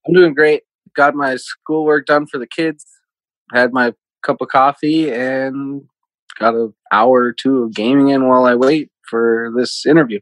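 A man's voice: medium pitch, fairly even intonation, measured pace, a bit bright, dry recording, low-quality recording. A man in his thirties from Minnesota, giving casual, conversational answers as an interview guest. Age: 30s. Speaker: interview guest, casino team supervisor.

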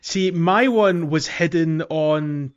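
A man's voice: on the high side, takes it slowly, clean tone. A man co-hosting a podcast, explaining in an agreeable, casual conversational way. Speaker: podcast co-host. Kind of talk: casual chat, explaining a game. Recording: dull lo-fi voice track.

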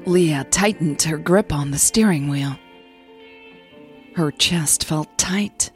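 A woman narrating a scripted romantic audio drama in a soft, measured storytelling manner. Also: low-pitched, faint music behind. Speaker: narrator and podcast host.

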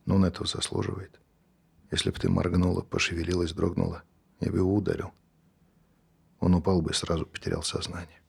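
An actor playing a calm, insistent lover who quietly urges a murder, with loud music behind. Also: male voice, low-pitched, fairly quick, creaky, long pauses, breathy edge, exaggerated voice. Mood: coaxing. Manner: quiet, persuasive, pressing questions. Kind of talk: stage play performance.

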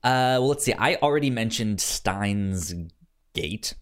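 A man with a fairly low voice talks casually about films as a podcast co-host. His delivery is very slow and hesitant, and he stutters, repeating sounds and words as he goes. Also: animated, smooth. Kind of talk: casual film review chat.

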